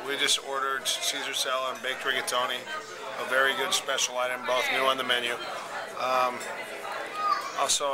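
A man in his thirties, joking and teasing while filming himself on a handheld camera. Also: mid-range voice. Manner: playful, teasing. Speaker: vlogger. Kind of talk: casual joking vlog chatter. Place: airport, car and restaurant. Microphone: handheld camera close to face.

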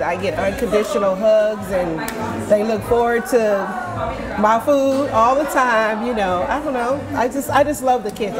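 Heartfelt woman cook over loud crowd. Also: mid-range voice. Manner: warm, heartfelt, laughing easily. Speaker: school cafeteria cook. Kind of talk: on-camera interview. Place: indoor community center hallway. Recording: busy crowd noise behind. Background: loud crowd chatter.

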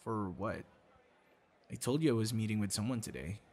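A man's voice: on the low side, moderate pace, a little mellow. A man acting a shy, kind young boyfriend in an ASMR roleplay, speaking conversationally with hesitations and stammers. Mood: flustered.

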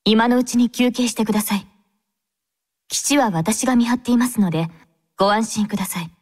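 A woman voicing a devout young female android squad captain in an anime game, speaking formally and with gentle composure. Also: fairly high pitch, fairly quick, slightly rough. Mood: calm, courteous. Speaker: game character voice actor.

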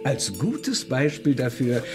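An actor playing a menacing, smugly amused cult leader in a crime radio drama, pompous, theatrical and condescending. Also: male voice, medium pitch, very animated, slow pace, over loud music. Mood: mock disappointment.

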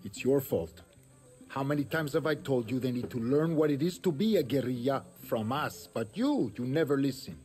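An actor playing a gruff, commanding middle-aged guerrilla chief in a game cutscene. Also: male voice, medium pitch, expressive, normal speed, a little mellow, soft background music, close to an everyday voice. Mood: angry, scolding. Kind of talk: dramatic video game cutscene acting.

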